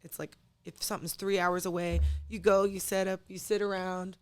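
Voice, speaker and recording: A woman with a raspy, slightly sick voice at a middling pitch chats casually as a guest. She is slangy and candid, and she acts out conversations as she tells her stories. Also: flat delivery, normal speed. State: sick with a cold. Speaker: guest, musician and wedding singer. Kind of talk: casual chat, candid storytelling.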